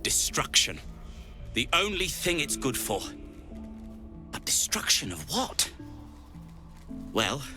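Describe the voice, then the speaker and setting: A man's voice: medium pitch, sharp tone, exaggerated voice. A man voicing a curmudgeonly, theatrical gnome alchemist in a video game, with a wry, self-satisfied manner. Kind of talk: video game character acting.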